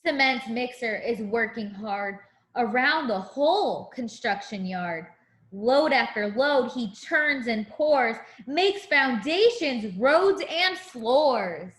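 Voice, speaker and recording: Slow, high-voiced woman reading to children. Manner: expressive, deliberate, explains simply to young children. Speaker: teacher reading aloud to students. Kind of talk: children's picture book read-aloud. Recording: echoey room.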